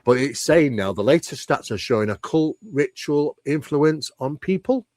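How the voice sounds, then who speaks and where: A man's voice: fairly high pitch, lively intonation, normal speed, darkish tone, no echo, studio quality. A man speaking as an interview guest, explaining conversationally and emphatically, with rhetorical questions and repeated phrases. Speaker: interview guest, paranormal researcher.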